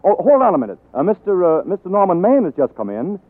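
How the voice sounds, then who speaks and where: An American actor playing a charming, faltering film star husband in a 1940s radio drama, fond but wounded, with hesitant, halting speech. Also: male voice, high voice, huge pitch swings, heavily acted. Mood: polite, deflated. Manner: hesitant, halting, stammered repeats. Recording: muffled 1940s radio broadcast recording.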